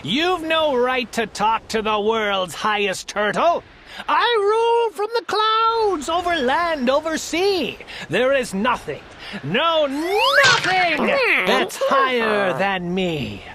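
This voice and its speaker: A woman voicing a boastful, tyrannical male turtle king in a storybook reading, loud and grandiose. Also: high voice, very animated, slow pace, heavy background noise, pitched above the performer's own voice, exaggerated voice. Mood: haughty.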